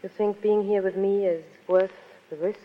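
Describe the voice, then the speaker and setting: A woman playing a cool, world-weary cabaret singer in a 1930s radio drama, speaking in an unhurried, aloof and politely distant way. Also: medium pitch, steady tone, lightly acted. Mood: weary, guarded. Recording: dull, noisy 1930s radio broadcast with crowd.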